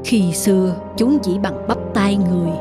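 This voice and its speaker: A man's voice: high voice, normal speed, music in the background, lightly acted. A man voicing a wistful, ageing father who revisits his old home in a cartoon. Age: older. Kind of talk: cartoon dubbing.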